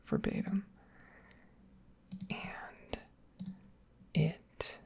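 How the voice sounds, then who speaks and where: A young woman speaking softly in a breathy, mostly whispered ASMR style, rambling reflectively with hesitant pauses and asides. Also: lowish voice. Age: young adult. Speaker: ASMR video creator. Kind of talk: soft-spoken ASMR, rambling personal talk.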